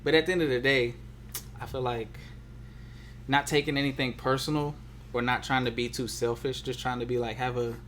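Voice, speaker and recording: A man's voice: mid-range voice, fairly even intonation, brisk, fairly bright tone, a little hiss or hum. A man talking casually and colloquially, often checking that the listener follows him. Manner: colloquial, peppered with "you know what I'm saying". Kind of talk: casual relationship chat.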